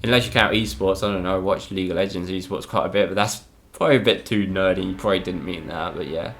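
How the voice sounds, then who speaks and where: A young man from Spain, a gaming YouTuber, reads out viewer questions and answers them in a relaxed, hesitant way, with lots of ums and "I don't know". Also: low-pitched, fast talker.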